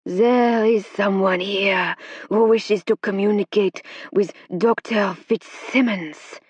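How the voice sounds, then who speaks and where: A woman's voice: medium pitch, steady tone, a little mellow, husky, no room sound, muffled recording. Woman doing a growling foreign spirit guide. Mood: solemn. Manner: slow, solemn pronouncements.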